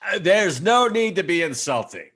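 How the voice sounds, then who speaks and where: A man puts on a self-important starship captain, haughty and easily affronted. The voice is fairly high and cutting, with very animated swoops, played for comic science fiction. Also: normal speed, exaggerated voice. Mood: indignant. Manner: pompous, haughty. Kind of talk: comic sci-fi table read.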